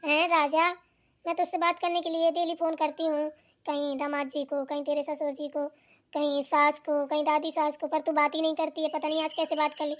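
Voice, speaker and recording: A woman voicing a gentle older mother in a family cartoon, coaxing and pleading plaintively with her daughter. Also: sits high, monotone, moderate pace, lightly acted. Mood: hurt, coaxing. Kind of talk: amateur Hindi family cartoon dialogue.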